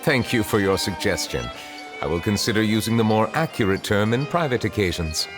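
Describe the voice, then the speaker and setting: A man voicing a dignified, reserved dragon judge in a video game, speaking formally, courteously and with measured phrasing. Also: lowish voice, expressive, music in the background, close to an everyday voice. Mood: polite, earnest.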